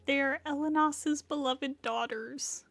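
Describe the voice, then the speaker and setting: A woman's voice: high voice, fairly even intonation, slow, a bit bright, no room sound. A woman giving casual live commentary as she streams a video game.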